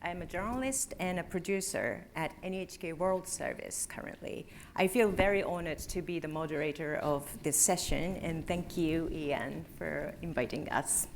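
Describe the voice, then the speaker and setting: A woman moderating a conference panel, speaking politely and with measured pacing, with frequent ums and uhs. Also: deep voice, slight background noise.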